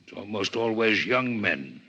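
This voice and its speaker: Formal, raspy alien doctor. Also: male voice, fairly low pitch, expressive, a little slow, sharp tone, full character voice. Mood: formal, inquisitive. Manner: formal, stiff, precise diction. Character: courteous, formal alien doctor visiting Earth. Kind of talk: 1950s radio drama acting. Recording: muffled, dull 1950s radio recording.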